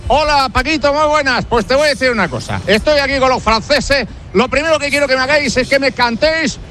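A man with a Spanish accent reporting on football as a roving radio reporter, excitable, jokey and colloquial. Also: very high-pitched, quick pace, faint music behind. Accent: Spanish (Spain). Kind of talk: live interview and banter with football fans. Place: outdoors outside a stadium, live broadcast.

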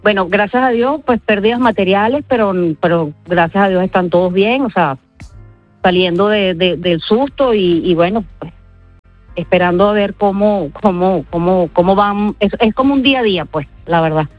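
A woman from Venezuela with a Venezuelan accent, speaking haltingly in a live news interview, full of fillers. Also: mid-range voice, no room sound, soft background music. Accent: Venezuelan Spanish. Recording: dry, slightly dull audio.

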